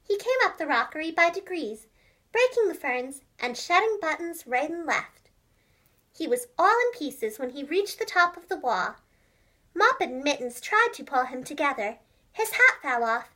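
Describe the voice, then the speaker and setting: High, animated woman reading a children's story. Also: normal speed, low-quality recording. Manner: expressive, clearly enunciated, addressing young children. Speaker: storyteller, preschool teacher and voice actress.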